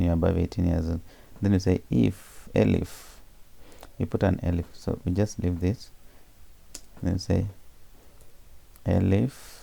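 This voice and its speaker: A man explaining programming step by step in a screencast tutorial, pausing often with fillers and correcting himself as he goes. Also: deep voice, creaky, dark tone, slightly rough.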